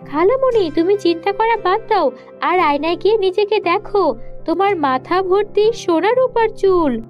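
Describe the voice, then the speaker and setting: A woman's voice: very high voice, lively intonation, normal speed, lo-fi sound, faint music behind, pitched above the performer's own voice. A woman voicing an earnest, reassuring young girl in a cartoon, speaking insistently.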